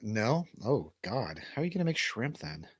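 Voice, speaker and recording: A man's voice: medium pitch, very animated, normal speed, breathy edge, dry recording. A middle-aged man hosting a casual live stream in informal, sometimes sweary chat. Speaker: show host.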